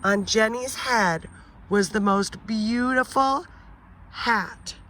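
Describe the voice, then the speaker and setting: A woman teacher reading a children's picture book aloud, expressive and pausing to ask the listener questions. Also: on the high side. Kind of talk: children's read-aloud story.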